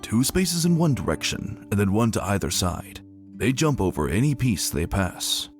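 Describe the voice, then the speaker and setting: A man voicing a wounded soldier pony father, patient, weary and gentle, in a dramatic story reading. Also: on the low side, big pitch swings, normal speed, faint music behind. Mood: gently instructing. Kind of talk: dramatic fan-fiction reading.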